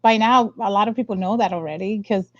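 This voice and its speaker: A woman's voice: mid-range voice, a little fast, no echo. A young woman from the Dominican Republic speaking as an interview guest, telling her own story in a candid, casual way. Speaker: interview guest, science communicator. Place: indoor room, on a video call. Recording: dull lo-fi video call audio.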